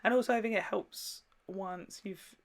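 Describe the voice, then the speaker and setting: A young woman with a noticeably creaky, mid-pitched voice co-hosts a casual reaction chat. She speaks informally and with slang, prompting her co-host for opinions. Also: moderate pace, a little hiss or hum.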